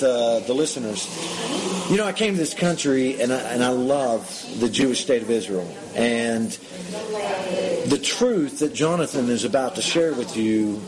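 Hesitant male radio host in a noisy restaurant. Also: medium pitch. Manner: halting, many fillers and restarts. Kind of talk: casual interview chat. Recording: busy restaurant background. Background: restaurant crowd chatter.

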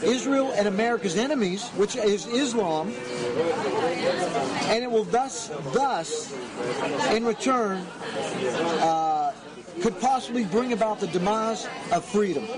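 A man hosting a talk show from a cafe, with a loud voice, fervently urging his listeners. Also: high voice, expressive, unhurried, long pauses. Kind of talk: opinionated political commentary. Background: cafe crowd chatter.

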